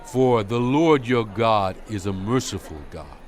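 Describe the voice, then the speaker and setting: A man playing a solemn, stern prophet and leader exhorting his people in a measured, dramatized scripture reading. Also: on the low side, animated. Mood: solemn, reassuring. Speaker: Bible narrator.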